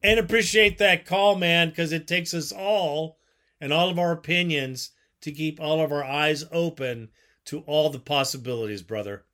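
A man's voice: fairly high pitch, moderate pace, sharp tone. A man hosting a call-in sports talk show, speaking in a folksy, emphatic way. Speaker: radio show host.

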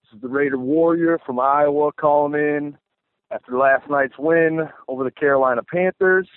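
Caller: man, loud and eager, fairly high voice, dry tinny phone line. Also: normal speed, clear, resonant tone, dry recording.

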